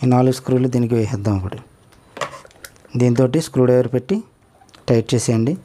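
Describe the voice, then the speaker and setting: A man's voice: medium pitch, fairly even intonation, fast talker, warm tone, slight background noise. A man explaining a speaker repair step by step as he works, often asking viewers to look and follow along. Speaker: electronics repair tutor. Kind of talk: hands-on repair tutorial.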